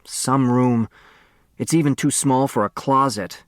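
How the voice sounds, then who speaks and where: A man's voice: mid-range voice, measured pace, no room sound, studio quality, natural voice. A man voicing a bratty, defiant young girl in an audiobook, snappy and blurting her words. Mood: sullen.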